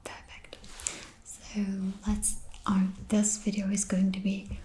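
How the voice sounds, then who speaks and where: A Czech-accented woman whose first language is Czech, giving a soft-spoken ASMR lesson in a whispery voice with hesitant ums and likes. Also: mid-range voice, completely flat delivery, takes it slowly. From Czech Republic. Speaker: ASMR host.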